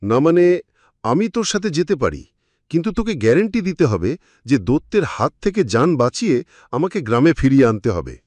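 An excitable young villager from a cartoon, acted by a man, talks in exclamations and banter. The pitch is medium but leaps around a lot, and the sound is dry. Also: fairly quick, dry recording. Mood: frightened. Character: village youths among friends, excitable and animated.

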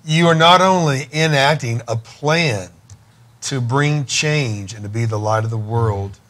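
Fervent middle-aged TV host. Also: male voice, mid-range voice, unhurried, a bit bright. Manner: fervent, preacher-like listing and exhortation. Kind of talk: TV talk show hosting. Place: TV studio set.

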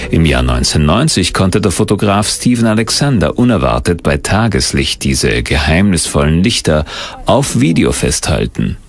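A man's voice: sits low, vocal fry. A man narrating a scripted documentary.

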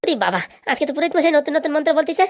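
A performer voicing an excitable, childlike villager in a comic cartoon, squeaky and with a rural Bengali accent. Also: racing. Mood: chatty, puzzled. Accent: Bengali, rural dialect.

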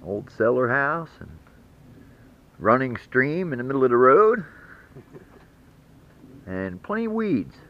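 A man's voice: medium pitch, sing-song, takes it slowly, long pauses. A man narrating a metal detecting trip in a folksy, joking way, filmed outdoors and from inside a truck. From West Virginia. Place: outdoors in woods and in a truck on a rough trail. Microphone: action camera. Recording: muffled camera audio with loud engine noise.

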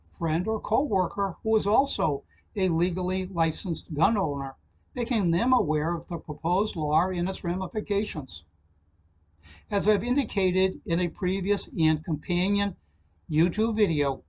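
An older man speaks in a fairly high, husky voice, delivering a prepared alert in a formal, measured way. He slows down to read out numbers and web addresses, recorded at a desk in a small office. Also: a little mellow, low-quality recording. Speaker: channel host giving a legislative alert. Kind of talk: prepared informational address to camera.

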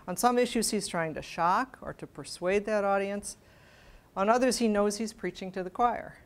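A woman in her sixties with an American accent speaks at a medium pitch. She gives a prepared scholarly lecture from a lectern. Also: moderate pace. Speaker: scholarly editor giving a lecture. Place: library event room, at a lectern. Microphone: lapel microphone.

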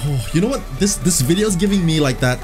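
Excited man reacting over background music. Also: a little high, quick pace. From Borneo, Malaysia. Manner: enthusiastic, exclaiming in wonder. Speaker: YouTube reaction host. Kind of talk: casual reaction commentary. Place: small home studio room. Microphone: boom-arm studio mic. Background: music playing from the video.

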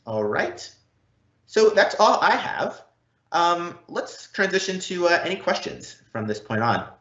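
A man's voice: high-pitched, big pitch swings, unhurried, fairly bright tone. Roomy-sounding man presenting online. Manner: explanatory, checks in with "right?" and "okay?". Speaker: presenter, technology consultant. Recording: roomy, slightly dull online event audio.

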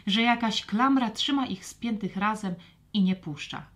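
Woman telling a kids story, medium pitch, dramatic n expressive delivery. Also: unhurried, a loud crowd. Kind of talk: scripted children's story narration.

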